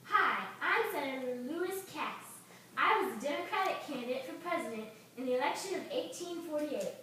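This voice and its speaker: A woman's voice: high-pitched, lively intonation, brisk, pushed and bright. A young woman acting out an amateur puppet show as a student. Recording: echoey room, distant mic, faint noise.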